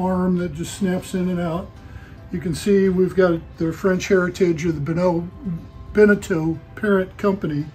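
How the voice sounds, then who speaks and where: Older man, fairly high voice, mellow tone, plain feature-by-feature tour inside a boat, faint music behind. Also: poor audio. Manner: matter-of-fact, listing features. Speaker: boat salesman giving a walkthrough. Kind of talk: walkthrough tour presentation. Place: inside a boat in an indoor showroom.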